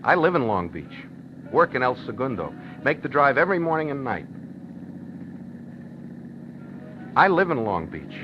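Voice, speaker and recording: A man's voice: medium pitch, very animated, fairly quick, natural voice. An actor playing a henpecked, wistful working-man husband in a 1950s radio drama, plainspoken and a little hesitant. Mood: hesitant, earnest. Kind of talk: 1950s radio drama acting, first-person narration. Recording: dull 1950s radio recording with music.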